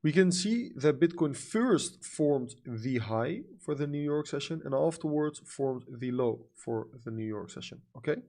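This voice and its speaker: A young Dutch-accented man talks through a casual livestream in a gravelly, fry-laden voice at a middle pitch. He leans on fillers like "you know" and "like" and turns blunt and profane without warning. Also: expressive, measured pace, few pauses, dark tone. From Netherlands. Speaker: livestream trader. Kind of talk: casual livestream chat reading comments.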